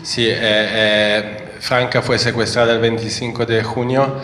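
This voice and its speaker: A man with an Italian accent, a native Italian speaker, presenting his book in a slow, halting, emotional speech. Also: lowish voice, little pitch movement, crowd noise. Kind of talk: public speech. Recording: echoey hall with reverb.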